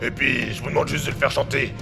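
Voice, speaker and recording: A man's voice: medium pitch, steady tone, fast, gravelly, over loud music, natural voice. An actor playing a crude, nervous young hitman who talks in slang and often hesitates. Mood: tense. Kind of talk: amateur audio drama.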